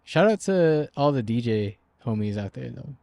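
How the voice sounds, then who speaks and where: A man in his twenties co-hosting a podcast, talking in a relaxed, slangy way and laughing often. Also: medium pitch, normal speed, vocal fry. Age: 20s. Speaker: podcast co-host. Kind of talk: casual chat.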